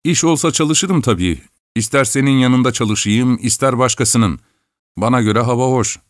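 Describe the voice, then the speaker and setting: A man's voice: normal speed, no room sound, studio quality, natural voice. A man putting on a plaintive young peasant lad. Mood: sulky. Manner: whiny, plaintive, rising pitch. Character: young peasant lad, sturdy, sulky and naive.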